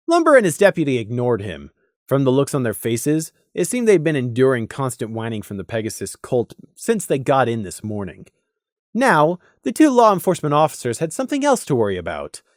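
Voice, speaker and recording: A woman's voice: a bass voice, no echo, studio quality. A woman reading scripted story narration as an audiobook narrator.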